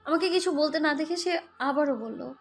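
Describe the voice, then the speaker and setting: A woman's voice: high voice, moderate pace. A woman narrating a romantic audio story in the first person, with scripted delivery. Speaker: story narrator, also voicing the heroine. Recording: background music under voice. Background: music.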